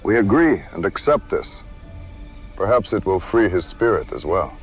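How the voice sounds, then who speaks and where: Man playing a low-voiced, solemn war party leader. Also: sing-song, normal speed, breathy edge, faint music behind, full character voice. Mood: grateful, solemn. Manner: formal, dignified. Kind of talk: audio adventure drama acting.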